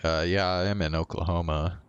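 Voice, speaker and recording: A male game streamer talking casually in a low, deep voice. He is blunt and swears freely, and the microphone sounds slightly dull. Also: steady tone, normal speed, clean tone.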